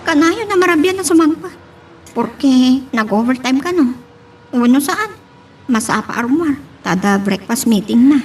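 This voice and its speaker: An actress plays a suspicious young Ilocano wife from the Philippines in a radio-style love drama. Her voice is high and plaintive, pressing with doubt and hurt. It sits some distance from the microphone. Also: lively intonation, moderate pace, a little hiss or hum. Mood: uneasy, confiding. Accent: Filipino, Ilocano. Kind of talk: Filipino radio-style romance drama acting.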